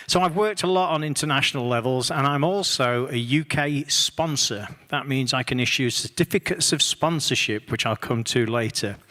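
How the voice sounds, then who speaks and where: A man's voice: medium pitch, sharp tone, big-room sound. A man presenting a conference workshop on music work across borders, speaking with dry humor and frequent fillers. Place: conference room, online session.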